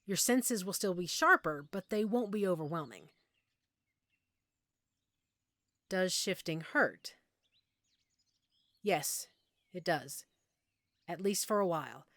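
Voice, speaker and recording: A woman's voice: lowish voice, lively intonation, a little slow, long pauses, no echo. Woman playing a patient, reassuring werewolf mentor, close and dry. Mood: patient, explanatory. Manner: patient, gently explanatory, reassuring. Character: friendly, reassuring female werewolf pack member, patient mentor. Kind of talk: intimate audio roleplay, speaking directly to the listener.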